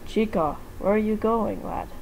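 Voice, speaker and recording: A woman's voice: medium pitch, normal speed, gravelly, darkish tone, a little hiss or hum, voice pushed up, natural voice, rough recording. A woman voicing an affectionate, modest male animatronic pirate fox, using pirate talk in an amateur fan fiction reading. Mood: concerned.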